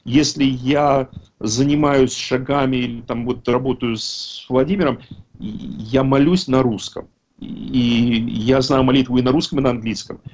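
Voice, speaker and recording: A man's voice: medium pitch, fairly even intonation, measured pace, a little mellow, slight background noise. A man in his fifties, raised in a Russian-speaking country, speaking casually and emphatically at an online recovery meeting, often correcting himself mid-sentence. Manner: rambling, self-correcting, emphatic.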